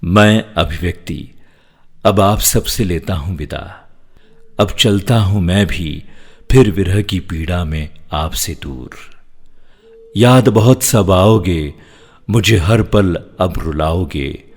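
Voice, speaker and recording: Casting: male actor as emotional festival spirit, low and raspy, declaiming poetic devotion to its followers. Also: slow, heavily acted. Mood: wistful farewell.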